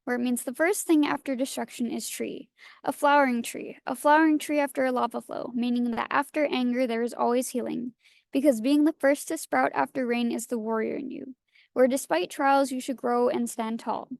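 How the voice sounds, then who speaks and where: A young woman from Hawaii performing spoken word poetry in a measured, rhythmic recitation at a reading. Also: sits high, steady tone, vocal fry, a little mellow, no echo, studio quality. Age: young adult. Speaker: featured youth poet. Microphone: handheld mic at a reading.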